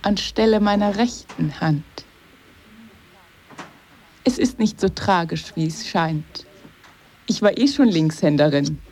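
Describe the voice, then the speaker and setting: A woman's voice: lowish voice, animated, takes it slowly, long pauses, darkish tone, slight background noise. A woman playing a hard, guarded young woman in a radio drama, with curt, laconic delivery. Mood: cool, dismissive.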